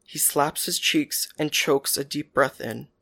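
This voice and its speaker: Male narrator, slightly high voice, quiet and even first-person storytelling. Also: unhurried, vocal fry.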